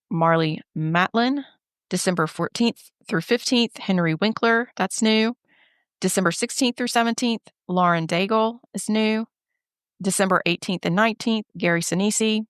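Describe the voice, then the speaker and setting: A woman's voice: mid-range voice, normal speed, clean tone, no echo, clean studio sound. A woman co-hosting a travel podcast, talking casually while a little stuffy and nasal from a head cold. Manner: runs through lists in a steady, even delivery. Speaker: podcast co-host and travel agent.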